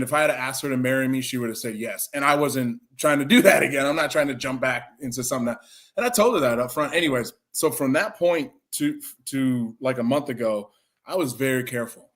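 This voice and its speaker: A man's voice: medium pitch, fairly bright tone. A man in his forties telling a long, rambling story in a loose, chatty way. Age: 40s. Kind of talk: casual chat, rambling storytelling.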